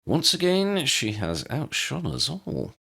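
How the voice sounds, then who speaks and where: A man voicing a bookish, courtly uncle in an audiobook, measured, wordy and wry. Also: fairly high pitch, sing-song, creaky, a bit bright, slightly rough, full character voice. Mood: courtly, grateful. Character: bookish, courtly uncle with ornate speech and calm wit.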